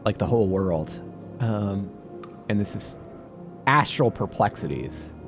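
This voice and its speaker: Man puzzling aloud, lively pitch, music behind. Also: unhurried. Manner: thinking aloud, puzzling things out. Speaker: video game streamer. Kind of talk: casual let's-play commentary, reading game text aloud.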